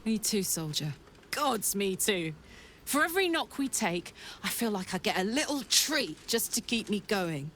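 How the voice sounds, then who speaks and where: A woman's voice: mid-range voice, sing-song, a little slow, fairly bright tone, a little hiss or hum. A woman playing a big-hearted, brash soldier in a video game, speaking earnestly and bluntly with playful banter. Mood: joyful. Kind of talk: video game character dialogue.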